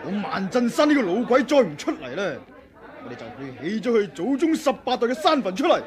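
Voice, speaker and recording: A snorting, hot-headed thug. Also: male voice, sits high, wildly swooping pitch, a little fast, some chatter behind. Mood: scornful. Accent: Hong Kong Cantonese. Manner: gruff, snorting, barking threats. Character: brash, hot-tempered male martial-arts thug. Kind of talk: 1980s radio drama acting.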